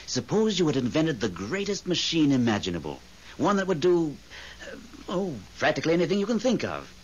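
A man's voice: fairly high pitch, wildly swooping pitch, a little fast, breathy edge, heavily acted. A man playing a bossy, sardonic confidant with an impatient, theatrical manner in a 1970s radio drama. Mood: scolding. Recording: muffled, hissy old radio recording.

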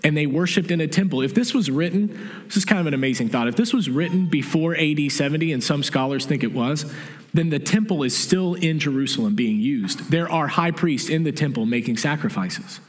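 A middle-aged man preaching a sermon in a church sanctuary, explaining calmly and restating his key points. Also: a little high, fairly quick. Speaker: pastor. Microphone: stage mic from a far camera. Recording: long church reverb.

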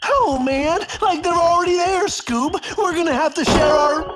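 A man's voice: extremely high pitch, normal speed, heavy background noise. A man voicing a cowardly, hungry, goofy young slacker in a cartoon video game, with a whiny, hesitant drawl. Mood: nervous. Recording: music behind the voice.

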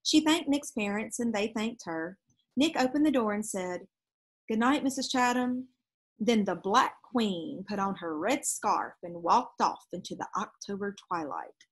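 A woman's voice: mid-range voice, animated, measured pace, husky. A woman in her thirties reading a children's storybook aloud expressively outdoors. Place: outdoors on a leafy backyard porch.

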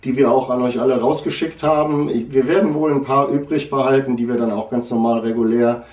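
A man's voice: mid-range voice, steady tone, a little fast, a little mellow, recorded from a distance, highs cut off. A middle-aged man with a northern German accent, presenting new merchandise from his comic shop in a casual, rambling way with many fillers and restarts. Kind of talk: casual product chat. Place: shop room with posters, indoors. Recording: roomy, from off the mic.

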